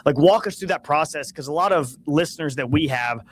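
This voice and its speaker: A man hosting a podcast with a voice pitched a little high. He talks in an easygoing, enthusiastic way, throwing in "dude" and "man" as he draws out his guest. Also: a little fast. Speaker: podcast host. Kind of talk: casual interview chat.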